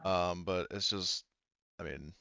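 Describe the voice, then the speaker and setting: Dry, low-voiced man explaining game rules. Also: fairly even intonation, takes it slowly, gravelly, pushed and bright, no room sound, highs cut off. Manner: hesitant, self-interrupting, often double-checking. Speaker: game master.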